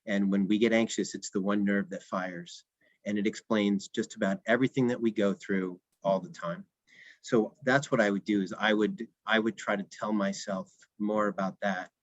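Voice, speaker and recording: A man offers advice on a panel in a fairly low voice. He is earnest and explanatory, and the sound is dry and a little dull. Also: fairly even intonation, moderate pace, no echo. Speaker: panelist giving wellness advice. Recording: dull, band-limited audio.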